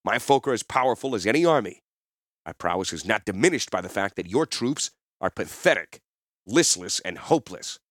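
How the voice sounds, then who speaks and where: Man as a low-voiced, boastful warrior hero. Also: animated, normal speed, fairly bright tone, no echo, studio quality. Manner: commanding, swaggering. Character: boastful, defiant legendary male warrior hero.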